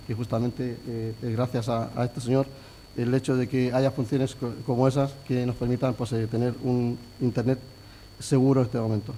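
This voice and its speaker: Halting host introducing a speaker. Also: male voice, mid-range voice, mellow, a little hiss or hum, poor audio. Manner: halting, many fillers and restarts. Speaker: event host. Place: lecture hall.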